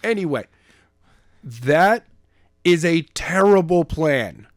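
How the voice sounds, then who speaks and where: A man's voice: on the high side, animated, slow pace, no room sound. Playful young-sounding man, dry close sound. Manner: playful, acts out characters in silly voices. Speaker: podcast co-host, narrator. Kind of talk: casual chat about a book chapter.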